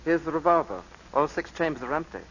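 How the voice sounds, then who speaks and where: An actor playing a polite, dutiful district officer in a 1940s radio drama, courteous and formal. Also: male voice, a little high, expressive, quick pace, fairly bright tone. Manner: courteous, formal, hesitant on duty. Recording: dull old radio broadcast with faint hiss.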